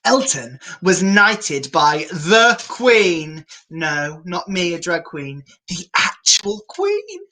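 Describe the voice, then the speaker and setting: A woman's voice: lowish voice, sing-song, takes it slowly, few pauses, pushed and bright. A woman reading a picture book aloud to children in a theatrical, playful manner, with asides to the viewers. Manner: theatrical, playfully self-mocking. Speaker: children's storyteller, drag queen.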